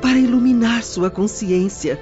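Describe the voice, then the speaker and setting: A woman's voice: fairly high pitch, moderate pace, natural voice. A woman playing a tender, devout mother, soothing and earnest, in an audiobook drama. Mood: tender consolation. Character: tender, devout mother comforting her grieving son. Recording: dull lo-fi audio under clear music.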